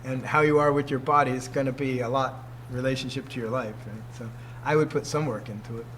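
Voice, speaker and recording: A man's voice: medium pitch, fairly even intonation, a little fast, a bit bright, slight background noise, low-quality recording. A man in his sixties, a martial arts teacher, giving an informal talk to students, emphatic and fond of rhetorical questions. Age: 60s.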